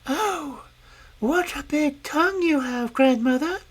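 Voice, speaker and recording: A woman doing a high, chirpy little girl. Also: expressive, slow pace. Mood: wondering. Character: innocent, trusting little girl.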